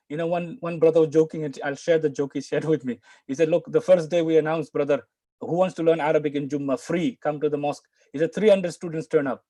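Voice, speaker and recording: A man's voice: fairly high pitch, mellow, dry recording. A middle-aged man teaching Arabic on a video call from a room at home, earnest and coaxing, often restarting his words. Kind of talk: live online class talk.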